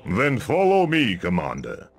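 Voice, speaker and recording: A man playing a solemn old ice mage, speaking gravely and formally in a fantasy video game. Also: mid-range voice, wildly swooping pitch, a little slow, very noisy. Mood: commanding.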